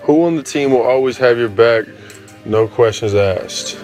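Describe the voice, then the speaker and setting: A man's voice: mid-range voice, normal speed, darkish tone, soft background music. A man asking teasing, casual questions in a played clip.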